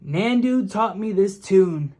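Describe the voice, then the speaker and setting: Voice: medium pitch, expressive, takes it slowly, dark tone, pitched above the performer's own voice. A reader voicing a friendly man in a children's storybook who greets and guides travelers heartily.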